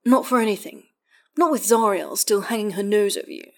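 A woman's voice: medium pitch, lively intonation, brisk, lightly acted. A woman voicing a theatrical, guarded man who speaks firmly and archly to a close friend. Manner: arch, drawling, firm.

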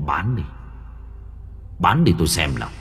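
A man voicing the grim ghost of a dead village man, speaking low and gruff. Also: big pitch swings, moderate pace, long reverb tail, over loud music, heavily acted. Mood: ominous.

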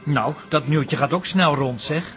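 High-voiced, grumpy Dutch shop owner. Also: male voice, measured pace, fairly bright tone. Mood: irritated. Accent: Netherlands Dutch. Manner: grumpy, sarcastic. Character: grumbling, worried male shop owner at the pub. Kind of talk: radio comedy-drama acting. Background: music.

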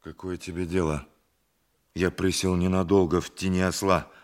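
An actor playing a haughty, irascible gentleman dentist with an imperious, exasperated manner in a theatrical audio drama. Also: deep voice, little pitch movement, moderate pace, natural voice.

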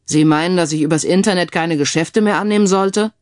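A woman's voice: lowish voice, fairly quick, darkish tone, no echo, clean studio sound, close to an everyday voice. Woman voicing a cautious veteran male bookmaker. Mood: worried. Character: wary veteran male bookmaker, experienced businessman. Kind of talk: audiobook character dialogue.

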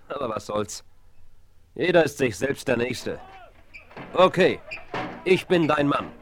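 A man's voice: mid-range voice, animated, slow pace, slight background noise. Blunt, cynical outlaw henchman. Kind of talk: German radio play acting. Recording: 1970s vinyl radio play.

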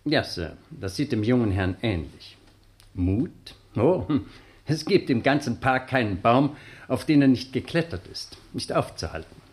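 An actor in a 1960s German radio drama playing a loyal, deferential old butler with a hesitant, servile manner. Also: male voice, mid-range voice, expressive, a little slow, natural voice. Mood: fond, nostalgic. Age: older.

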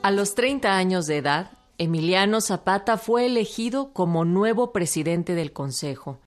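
A woman's voice: medium pitch, animated, moderate pace, faint music behind. A woman giving scripted documentary narration for a radio drama.

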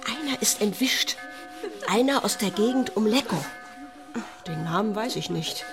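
Gossipy convent housekeeper, radio drama, emphatic mid-pitched voice, loud music underneath. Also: female voice, animated, unhurried. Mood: gossipy, dramatic. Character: gossiping convent housekeeper woman bringing grim news. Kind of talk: German radio play acting.

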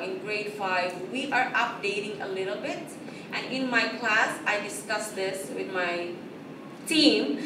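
A woman's voice: mid-range voice, far from the mic. Woman teacher in her 30s from the Philippines, American-sounding English accent, chatty explainer, recorded from across an echoey, noisy room. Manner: chatty, explaining with asides and checks like "right?" and "you know?". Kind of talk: school orientation talk to parents. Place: school room.

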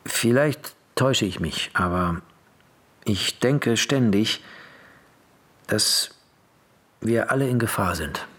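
A man giving measured scripted narration of film scenes, with clipped scene directions. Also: fairly low pitch, expressive, fairly bright tone, breathy edge, dry recording.

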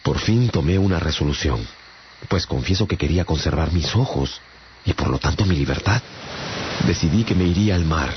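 A man's voice: very deep voice, lively intonation, moderate pace, slight background noise. A man giving theatrical, scripted first-person narration in a literary radio novel. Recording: muffled radio drama with music behind.

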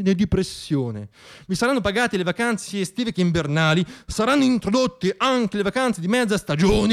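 A man's voice: high-pitched, creaky, full character voice. A man playing a grandiose politician declaiming impossible promises in a satirical radio monologue. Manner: declamatory, pompous.

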